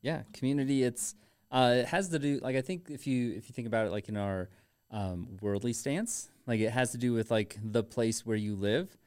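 Man, medium pitch, casual podcast banter, teasing, informal, halting phrasing. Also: darkish tone, clear, resonant tone. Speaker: podcast co-host.

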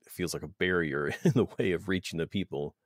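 A man's voice: deep voice, fast, dry recording, clean studio sound. A man hosting an interview podcast in a friendly, wry way, laughing easily as he talks. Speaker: podcast host.

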